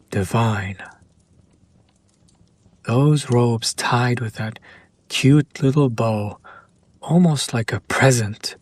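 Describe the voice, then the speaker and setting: Smug male demon played by a man, possessive and teasing, unhurried mid-range voice, close dry sound, intimate audio roleplay. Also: mid-range voice, dry recording. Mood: teasing, admiring. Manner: slow, teasing, deliberate, with breathy pauses.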